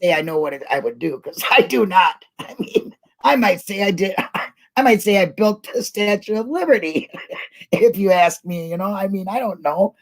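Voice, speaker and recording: A woman's voice: medium pitch, animated, normal speed. Chatty, rambling woman. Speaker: host of an online talk show. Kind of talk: casual live discussion.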